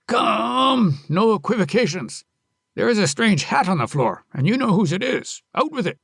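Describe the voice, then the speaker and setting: A storyteller voicing a brutal, greedy male highway robber in a bullying, shouting manner. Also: huge pitch swings, measured pace, dry recording, studio quality, exaggerated voice. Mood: angry bullying.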